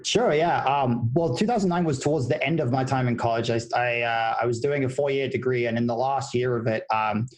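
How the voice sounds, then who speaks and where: A man's voice: mid-range voice, brisk, hardly any pauses. Easygoing British man in his thirties. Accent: British, partly Americanized. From United Kingdom. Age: 30s. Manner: easygoing and thoughtful, with many restarts. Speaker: guest, poker player and coach. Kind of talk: casual podcast interview.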